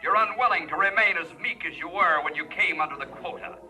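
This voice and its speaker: A bitter American letter writer in a vintage radio drama. His fairly high voice is scornful and pompous, with music behind. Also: a little fast, bright, forceful tone, poor audio. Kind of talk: old-time radio drama acting.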